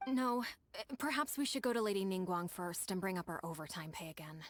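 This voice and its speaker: A woman's voice: lowish voice, fairly even intonation, brisk, slight background noise, natural voice. A woman voicing a tired, put-upon young clerk in a game, sighing over her workload. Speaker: game character.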